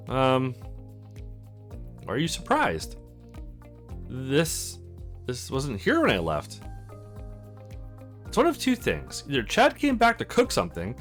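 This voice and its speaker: A man's voice: on the high side, fairly bright tone. A man hosting a let's play, reading visual novel dialogue aloud, acting out lines and adding casual commentary. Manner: acts out characters' lines with exclamations.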